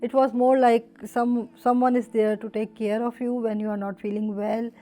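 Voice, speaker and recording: A woman from Pakistan, a doctoral student, telling her experience in an interview with many pauses and fillers. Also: a little high, flat delivery, mellow. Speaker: PhD student in journalism. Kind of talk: interview testimony.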